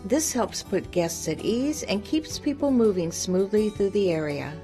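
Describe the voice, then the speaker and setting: A woman narrating a scripted news feature in a smooth, even voice-over. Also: mid-range voice, unhurried, soft background music.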